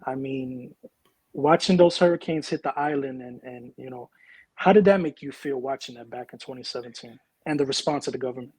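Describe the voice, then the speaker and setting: A man's voice: mid-range voice, brisk, darkish tone, breathy edge, dry recording, poor audio. A man interviewing a guest in a casual, emotive conversational way.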